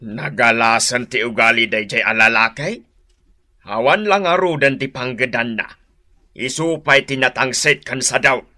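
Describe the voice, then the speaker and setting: A man's voice: mid-range voice, a little slow, sharp tone. A man playing a blunt, grumbling older father-in-law in a comedy radio drama. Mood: indignant.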